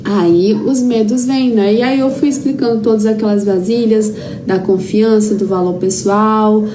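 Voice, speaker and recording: A woman's voice: medium pitch, fairly even intonation, measured pace. A woman with a Brazilian accent giving an informal, anecdote-filled lecture as a therapy teacher, repeating phrases for emphasis. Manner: conversational, emphatic repetition, acts out quoted dialogue. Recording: clearly noisy background.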